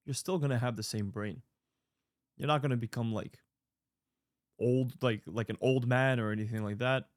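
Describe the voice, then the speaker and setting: A man's voice: medium pitch, a little mellow, no echo, clean studio sound. A man in his late twenties giving casual, earnest advice to viewers, rambling with fillers and restarts. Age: 20s. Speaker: online creator answering viewer mail.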